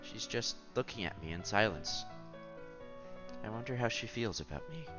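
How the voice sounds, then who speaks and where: A man's voice: on the low side, expressive, moderate pace, dull audio, music in the background. A man reading visual novel narration aloud as a streamer, expressively, with casual asides and exclamations.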